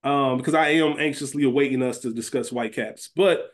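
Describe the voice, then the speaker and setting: A man speaks as a podcast co-host at a middle pitch. His delivery is casual and conversational, explaining things informally as he goes. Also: fairly quick. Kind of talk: casual podcast discussion of a TV series.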